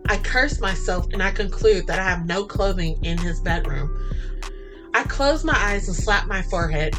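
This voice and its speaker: A woman narrating a first-person romance story as podcast host, in a flirty, expressive, dramatic style. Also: mid-range voice.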